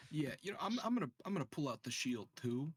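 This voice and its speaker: A man's voice: on the high side, big pitch swings, fast, husky, dry recording. Casual man playing a dry-recorded game. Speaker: tabletop game player.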